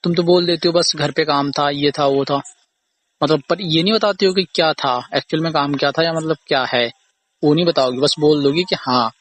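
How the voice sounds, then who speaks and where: A man's voice: a little high, normal speed, a bit bright, a little hiss or hum. A young man arguing casually in an accusing, repetitive, aggrieved way on a phone call recording. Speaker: boyfriend or husband on a phone call. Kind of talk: casual argumentative phone conversation.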